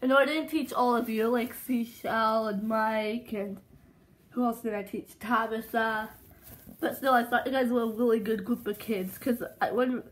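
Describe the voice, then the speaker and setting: Cheerful chatty male teacher by a woman, restarts a lot, home phone recording, higher pitch. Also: steady tone, normal speed, lightly acted, low-quality recording. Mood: warm. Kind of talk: plush toy home video acting.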